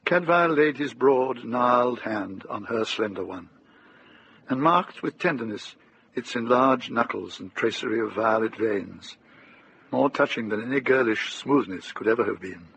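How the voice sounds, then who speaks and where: A man narrating a radio drama as a calm, literary storyteller, unhurried and lyrical. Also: mid-range voice, gravelly, a little hiss or hum, poor audio.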